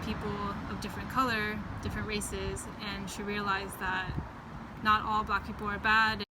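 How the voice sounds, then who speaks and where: A young woman talks casually in an interview, scattering filler words through her answers. Loud outdoor street noise surrounds her. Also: mid-range voice, level tone, normal speed.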